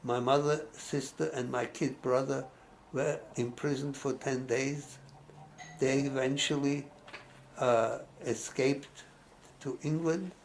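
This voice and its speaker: A man's voice: mid-range voice, fairly even intonation, gravelly, a little hiss or hum, rough recording. A man, reading his life story aloud and often stopping to restart a word. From Austria. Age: 90s. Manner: halting, restarts words mid-phrase. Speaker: memoir narrator.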